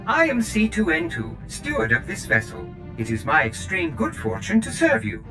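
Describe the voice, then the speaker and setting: A man's voice: fairly low pitch, huge pitch swings, moderate pace, poor audio. A man voicing a fussy, eager-to-please steward droid in a video game, speaking formally and obsequiously. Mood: eager, servile. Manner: formal, obsequious, proud of its service. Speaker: ship steward. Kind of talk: video game character acting. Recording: music behind the voice.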